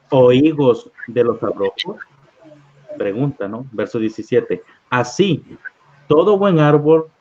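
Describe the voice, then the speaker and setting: A man leading a live-streamed Bible study, reading scripture aloud at an unhurried pace and often checking in with "¿verdad?". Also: medium pitch, a little mellow, breathy edge. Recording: dull lo-fi online stream audio.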